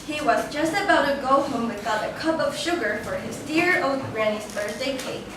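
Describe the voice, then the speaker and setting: Very high-pitched woman narrating, echoey. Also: expressive, moderate pace. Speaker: reader's theater narrator. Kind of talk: scripted storybook narration. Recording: very echoey, distant, noisy room.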